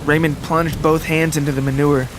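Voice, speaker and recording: A man reading a scripted literary story as a dramatic narrator, with deliberate, descriptive phrasing. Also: a little high, little pitch movement, noisy background. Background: music and rain sounds.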